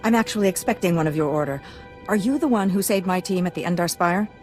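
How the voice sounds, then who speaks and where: A woman's voice: lowish voice, fast talker, darkish tone, soft background music, close to an everyday voice. A woman acting a dutiful military officer in a video game, formal and courteous.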